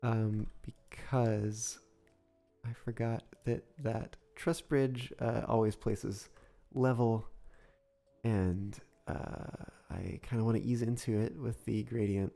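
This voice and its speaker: A man streams a train-building game, thinking out loud in an unhurried, soothing voice while he recovers from a recent illness. His tone is mellow, with a gravelly edge, and faint music plays beneath him. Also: lowish voice, steady tone. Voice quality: soft, soothing. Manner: slow, musing, thinks aloud through sums, greets chat viewers. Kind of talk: casual live-stream commentary while building a model railway in a game. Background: soft background music.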